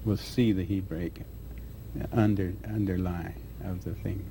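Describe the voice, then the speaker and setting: A man answering interview questions as a scholar, speaking haltingly with restarts mid-phrase. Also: sits low, steady tone, warm tone, a little hiss or hum. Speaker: guest scholar.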